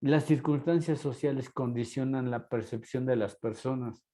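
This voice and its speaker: A man's voice: mid-range voice, fairly even intonation, moderate pace, dark tone. A man with a Mexican accent, lecturing a class and asking rhetorical questions. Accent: Mexican Spanish. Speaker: teacher.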